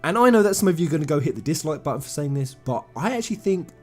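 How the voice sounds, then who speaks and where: A man's voice: fairly high pitch, lively intonation, racing, soft background music. A man hosting a video game channel, giving informal opinion commentary in an emphatic, slangy way with effusive fan enthusiasm, speaking straight to viewers.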